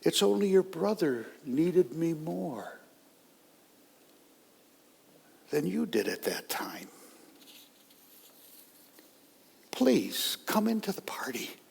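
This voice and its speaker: A man's voice: extremely high pitch, very animated, slow, slightly rough, voice pushed up, heavily acted. A man voicing an aging father who tenderly pleads with his grieving son. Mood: hushed, tender. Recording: long hall reverb.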